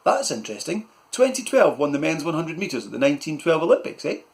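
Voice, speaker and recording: A man voicing a calm, literal-minded young boy with a matter-of-fact way of talking. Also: on the high side, fast talker, pitched above the performer's own voice. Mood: cheeky.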